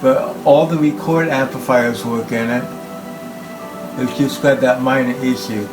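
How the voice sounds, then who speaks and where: A man giving an informal, halting talk about equipment as a hobbyist presenter, speaking in short phrases. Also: medium pitch, fairly even intonation, over music, poor audio. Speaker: hobbyist presenter showing his tape machines.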